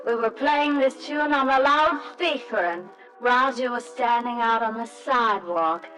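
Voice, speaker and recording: Radio drama role: woman playing a demure, wistful young wife, very unhurried delivery, music underneath an old radio recording. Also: fairly high pitch, close to an everyday voice, low-quality recording. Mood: wistful, reminiscing. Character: wistful young wife recalling her courtship, gentle and demure. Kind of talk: old-time radio drama acting, wistful first-person narration.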